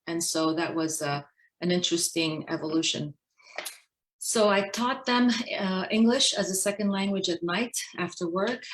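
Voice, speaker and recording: A middle-aged woman giving a prepared personal talk as a guest speaker, in a measured, explanatory manner. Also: on the low side, poor audio. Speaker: guest speaker, Navy dentist.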